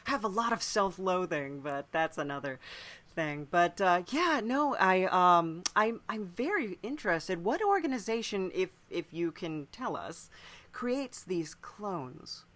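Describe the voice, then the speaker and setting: Bright-voiced woman hosting a podcast. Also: lowish voice, sing-song, measured pace. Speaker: podcast host interviewer. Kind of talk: casual conversational interview. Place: podcast interview recording.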